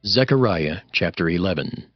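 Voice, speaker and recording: A man's voice: low voice, lively intonation, moderate pace, dull audio, faint music behind. A man making a scripted chapter announcement for an audio scripture recording.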